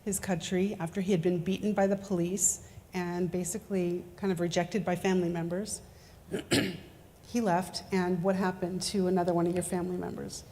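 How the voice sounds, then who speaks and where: A woman interviews a guest, gently prompting him with questions. Her voice is fairly low for a woman. Long reverb from a large sanctuary surrounds it. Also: level tone, normal speed. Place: synagogue sanctuary. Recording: echoey sanctuary with faint noise.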